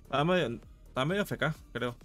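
A man's voice: medium pitch, fast, a bit bright, soft background music. A Venezuelan man talking casually and in slang with fellow players in an online game. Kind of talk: casual gaming voice chat with slang.

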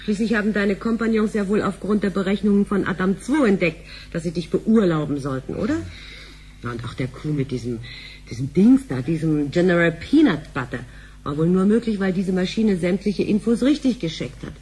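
An actress playing a middle-aged, sharp-tongued wife and mother in a sci-fi radio play, brisk and sardonic. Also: fairly low pitch, lively intonation, a little hiss or hum, close to an everyday voice. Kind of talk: radio drama acting.

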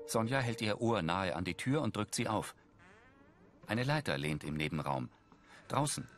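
Deep male narrator reading scene descriptions, lively pitch movement, music underneath. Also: normal speed. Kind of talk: scripted narration.

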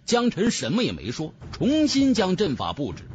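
A man's voice: sits high, wildly swooping pitch, normal speed, soft background music. A man narrating a fantasy audiobook in a dramatic, scripted storytelling style.

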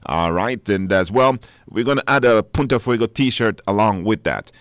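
A man's voice: lowish voice, normal speed, no echo, studio quality. A man hosting a live radio show, announcing informally with hesitations and repetition. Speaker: radio talk show host. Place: dry radio studio.

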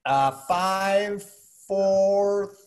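A man's voice: sits high, drawn-out words, slight background noise. Joking middle-aged man hosting a call. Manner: chatty, joking. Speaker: host. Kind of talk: virtual wine tasting chat. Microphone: video call.

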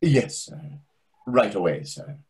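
A man plays a condescending waiter in readers theatre. His voice is very husky, with animated, sarcastic intonation and a prickly, passive-aggressive politeness. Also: medium pitch, slow, heavily acted. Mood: stiffly polite. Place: indoors on a video call.

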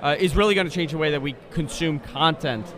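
Slightly high-voiced male interviewer in his thirties. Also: fast, a bit bright. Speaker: interviewer, podcast host. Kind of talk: casual conversational interview. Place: convention expo booth, indoors. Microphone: close studio microphone on desk.

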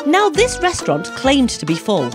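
Wry woman narrating, very lively. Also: sits high, normal speed, over music. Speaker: vlog narrator. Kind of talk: voice-over narration.

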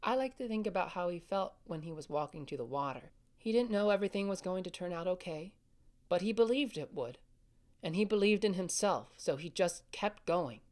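A gentle, caring big brother. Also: male voice, sits high, lively intonation, fairly quick. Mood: soothing. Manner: gentle, soothing, reassuring. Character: caring older brother, young, calm storyteller. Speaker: voice actor. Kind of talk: family audio drama acting.

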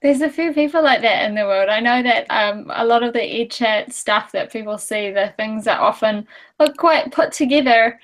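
A woman, hosting a casual webinar interview in a friendly way with fillers, on an earphone mic in a small room at home. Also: a little high. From New Zealand. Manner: friendly, quick to laugh, uses fillers. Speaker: webinar host. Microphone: earphone headset on a video call.

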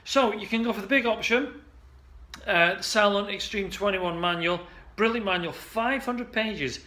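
Upbeat man pitching to camera. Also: pushed and bright. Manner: chatty and upbeat, with many restarts and "uh"s. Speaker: salon marketing coach. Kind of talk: casual direct-to-camera pitch. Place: indoor studio office.

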